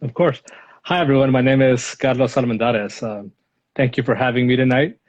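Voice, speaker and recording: Man in his 30s, Spanish his first language, thoughtful and hedging guest, mid pitch, dull audio. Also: normal speed. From Los Angeles. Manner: reflective, hedging with many fillers. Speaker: guest speaker, lawyer. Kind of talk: casual interview answers.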